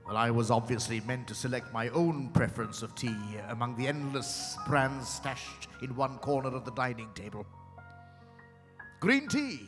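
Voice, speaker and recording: A man playing a genteel narrator telling his own story in a dramatized reading, measured and savoring each detail. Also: medium pitch, expressive, lightly acted. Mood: measured, theatrical. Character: genteel man recounting a tense visit. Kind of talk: dramatized first-person storytelling. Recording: hall reverb with clear music behind.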